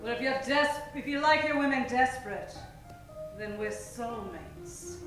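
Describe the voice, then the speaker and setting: Woman playing a slow, sultry femme fatale, echoey. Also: fairly high pitch, expressive. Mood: desperate, coy. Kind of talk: 1940s radio detective parody acting. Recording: echoey stage from far off, music behind.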